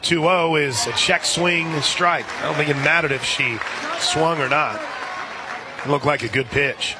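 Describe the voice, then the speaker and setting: A man doing live radio play-by-play of softball in a conversational way, as the announcer for the home side. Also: on the high side, a little slow, heavy background noise. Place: outdoor softball stadium broadcast. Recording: crowd and music behind. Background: stadium crowd, music.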